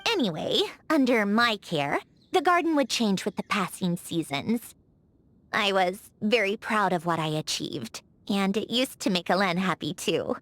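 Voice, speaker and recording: An actress voicing a haughty, prickly young genius lady automaton in a video game, huffy and easily flustered, with a secretly soft heart. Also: medium pitch, expressive, unhurried. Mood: proud, composed. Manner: haughty, huffy, flustered when caught being kind. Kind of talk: scripted video game character voice acting.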